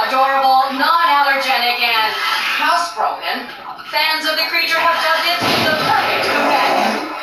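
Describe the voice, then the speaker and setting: An actress playing a polished, breezy female news reporter delivering a TV report in a video game cutscene. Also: sits high. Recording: echoey, distant TV-style audio with music.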